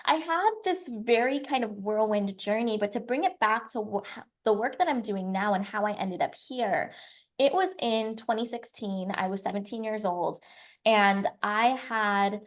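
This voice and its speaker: Young american woman rambling through her backstory, mid pitch, easygoing chat. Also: moderate pace. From United States. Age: 20s. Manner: chatty, lively storytelling with long run-on sentences. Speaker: podcast guest. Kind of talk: casual storytelling interview.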